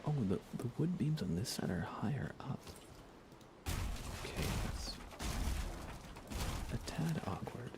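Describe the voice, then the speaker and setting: A young man softly murmuring on an ASMR gaming livestream in an unhurried, casual way, often close to a whisper, near the mic. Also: mid-range voice, big pitch swings. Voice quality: soft, hushed, often slipping into breathy whisper. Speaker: livestream host.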